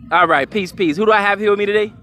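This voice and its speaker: A man with a high, bright voice fires off questions at a fast clip. He talks in a casual, slangy way as a street interviewer at an outdoor carnival.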